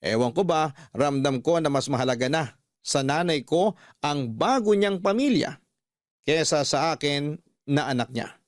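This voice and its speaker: A man's voice: on the high side, lively intonation, unhurried, pauses often, dry recording, studio quality. A man with a Filipino accent reading a scripted first-person story as a radio storyteller.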